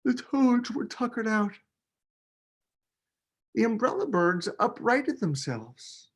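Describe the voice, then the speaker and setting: A man, a children's book author, reading a picture book aloud with expressive, dramatic emphasis. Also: very high-pitched, takes it slowly. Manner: expressive, dramatic delivery with emphasis on key words.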